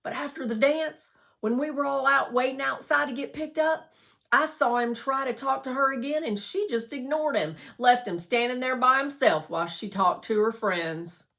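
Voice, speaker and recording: A woman's voice: high-pitched, moderate pace, creaky, fairly bright tone, voice pushed up. A woman voicing a cheerful, confident, chatty schoolboy in a storybook, with emphatic, exuberant delivery. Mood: eager.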